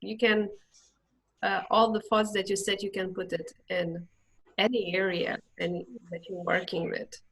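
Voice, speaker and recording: A woman moderating an online meetup over a video call, hosting questions in an unhurried, hesitant way. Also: medium pitch, flat delivery, a bit bright, breathy edge, low-quality recording.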